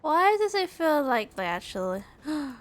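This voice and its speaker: A woman's voice: high voice, sing-song, measured pace. A woman gaming streamer giving casual, reactive commentary, often muttering to herself as she plays. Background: game music.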